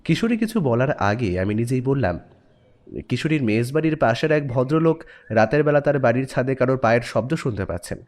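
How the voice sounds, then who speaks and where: Expressive male narrator with lively pitch. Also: fairly quick. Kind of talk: expressive scripted story narration with acted dialogue.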